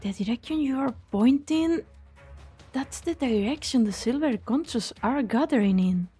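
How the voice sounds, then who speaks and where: Woman acting a very high, spirited heroine. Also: animated, moderate pace, dark tone, slightly rough, soft background music. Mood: puzzled, urgent. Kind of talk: amateur fan-dub acting.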